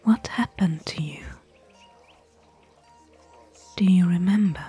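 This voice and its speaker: A woman playing a caring, worried fantasy expedition companion in a soft, breathy, intimate ASMR whisper. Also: fairly low pitch, soft background music. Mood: curious, pondering. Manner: intimate, gentle, slow and hushed. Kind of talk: soft-spoken ASMR roleplay.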